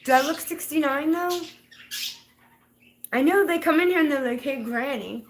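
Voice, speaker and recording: A woman's voice: sits high, normal speed, a little hiss or hum. A woman in her fifties chatting casually on a livestream, rambling and laughing often. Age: 50s. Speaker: livestream host.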